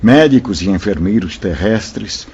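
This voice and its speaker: A man's voice: lowish voice, animated, measured pace, darkish tone, breathy edge, exaggerated voice. A man acting in a radio drama as a fatherly, solemn educator, recounting and counselling in an oratorical way, in muffled audio. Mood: grave recounting.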